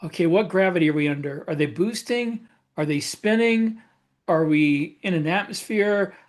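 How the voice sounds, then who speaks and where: Higher-voiced man in casual panel talk. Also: normal speed. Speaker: podcast co-host and science fiction author.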